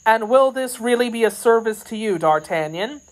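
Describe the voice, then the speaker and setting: A woman voicing a courteous, discreet gentleman musketeer in an audiobook, with a formal manner. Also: fairly high pitch, animated, moderate pace, pitched above the performer's own voice, lightly acted.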